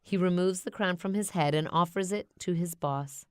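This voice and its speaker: A woman reads a children's story aloud with expression. Her voice is fairly low, smooth and crisply articulated, in a dry, close recording. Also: normal speed, dry recording. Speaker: storybook narrator.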